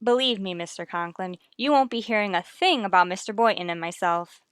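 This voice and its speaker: An actress playing a wry, wisecracking English teacher in a vintage radio comedy, delivering sardonic, deadpan quips. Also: female voice, mid-range voice, fairly quick, smooth, no room sound, clean studio sound, natural voice.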